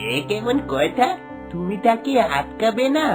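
Cartoon husband, devoted son, bewildered, lively intonation, music behind. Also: female voice, fairly high pitch, measured pace. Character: bewildered village husband, mother-loving adult son. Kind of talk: cartoon voice acting.

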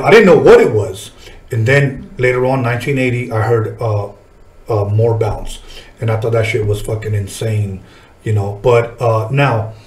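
A man's voice: mid-range voice, darkish tone. Middle-aged male podcast host, echoey room. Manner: informal, profane, reminiscing. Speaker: podcast host, music artist. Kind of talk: casual interview chat. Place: small podcast studio, indoors. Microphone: desk podcast microphone.

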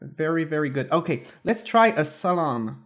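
Fairly high-voiced man hosting prank calls. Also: normal speed. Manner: bossy and pushy on prank calls, relaxed between them. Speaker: prank call show host. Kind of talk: casual podcast chat.

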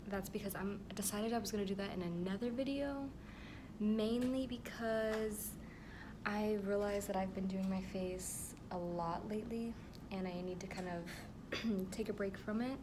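A late-teen woman talking into a camera at arm's length in her bedroom, casually telling a rambling story full of fillers and restarts. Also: mid-range voice. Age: late teens. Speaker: vlogger. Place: bedroom at home with air conditioning running. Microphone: phone or camera at arm's length. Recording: air conditioner hum in the background.

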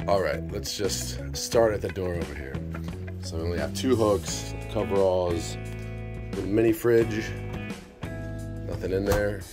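Very deep-voiced man giving a cabin tour. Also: unhurried, pauses often. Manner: relaxed, rambling, lots of ums. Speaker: vlogger. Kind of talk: casual vlog room tour. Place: small crew cabin on a cruise ship. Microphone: phone held at arm's length. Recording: music playing under the voice. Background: background music.

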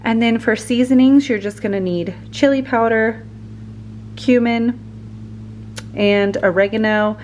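A woman's voice: fairly high pitch, fairly even intonation, unhurried, pauses often, noisy background. A woman in her thirties, a mom and vlogger, talking casually and upbeat while cooking at home, laughing often. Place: home kitchen, indoors.

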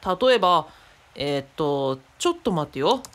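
A woman's voice: low-pitched, big pitch swings, moderate pace. A woman playing a friendly, knowledgeable adult companion in a radio drama, explaining casually and thinking aloud. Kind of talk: conversational radio drama acting.

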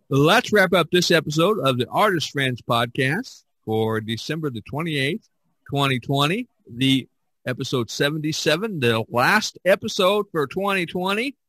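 A man hosting a casual podcast discussion, speaking in halting, hesitant phrases. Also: on the high side, a bit bright, no room sound. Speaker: podcast host.

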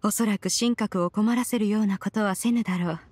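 A woman's voice: mid-range voice, a little fast, darkish tone, slightly rough, natural voice. Game role: haughty ageless female spirit, actress, mid-range voice, archaic and dignified, aloof but caring. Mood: composed, approving. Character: proud, ancient female adeptus spirit, aloof yet caring. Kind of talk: Japanese game voice acting. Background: music.

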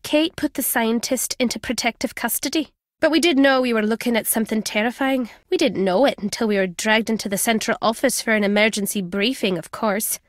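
A woman plays an older British former spy and journalist in a video game. Her voice sits fairly high, in a dry, close recording. She is wry and guarded, teasing yet fond as she speaks. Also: fairly quick, dry recording, lightly acted. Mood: guarded, serious. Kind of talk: video game character dialogue.

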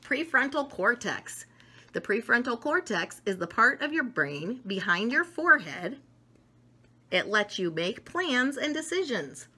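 A middle-aged woman reading a picture book aloud to children, expressive and enthusiastic, with chatty asides to her listeners. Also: a little high, a little slow, husky. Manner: enthusiastic, expressive, talks directly to young listeners. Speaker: read-aloud storyteller. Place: small domestic room indoors.

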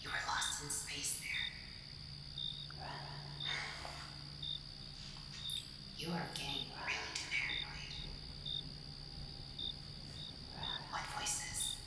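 A woman performing an ASMR roleplay as a skeptical, teasing companion exploring a haunted house, in a breathy, hesitant whisper. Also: low-pitched, fairly even intonation, normal speed. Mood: dismissive, reassuring. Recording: very echoey hall reverb, clearly noisy ambience.

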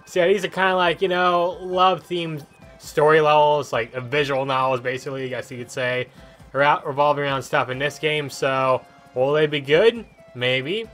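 A man doing casual gameplay commentary as a video host, rambling and thinking out loud as he reacts. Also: on the high side, normal speed, faint music behind.

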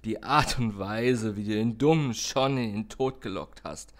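A man's voice: on the low side, moderate pace, close to an everyday voice. An actor playing a sly rival gangster and ladies' man in a German audio drama. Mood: smug, seductive. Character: smug, amorous mobster, sly rival gangster.

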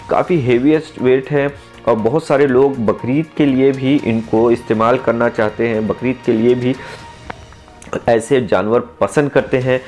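A man's voice: medium pitch, a little mellow. Enthusiastic man vlogging at a farm. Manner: friendly, enthusiastic, addresses viewers directly. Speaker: vlogger touring a goat farm. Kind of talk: casual vlog presenting. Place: outdoors at a farm.

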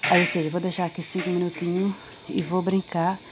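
A woman's voice: fairly low pitch, level tone, a little fast. A middle-aged woman, chatting through a cleaning tutorial with slangy, teasing jokes. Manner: jokey, slangy and teasing, playful asides. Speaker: home cleaning vlogger. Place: home kitchen, voice heard while cleaning a stove. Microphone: phone camera held close over the stovetop. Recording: loud background noise.

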